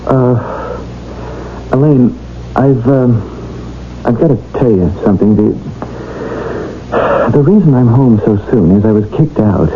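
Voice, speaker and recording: An actor playing an anxious, evasive American doctor in a radio drama, halting and defensive in his delivery. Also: mid-range voice, lively intonation, close to an everyday voice. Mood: troubled, fearful. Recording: muffled, noisy old radio broadcast.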